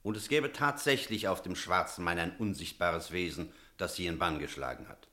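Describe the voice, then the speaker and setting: An actor playing an energetic, rational village priest in a German radio drama, speaking in a decisive, emphatic way. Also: male voice, low voice, normal speed. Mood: stern, reasoning. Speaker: character in a radio play.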